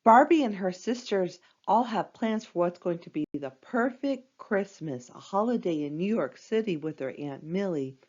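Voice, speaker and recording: Animated woman reading a children's story. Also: fairly low pitch, moderate pace, few pauses, lo-fi sound. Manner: expressive, dramatic delivery for young children. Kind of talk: scripted read-aloud storytelling.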